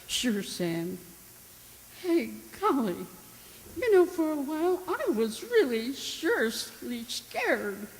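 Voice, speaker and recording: A woman's voice: high voice, sing-song, slow, full character voice. A woman playing an anxious, naive, girlish young wife in a melodramatic amateur radio drama. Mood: sheepish, chatty. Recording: echoey hall with background noise.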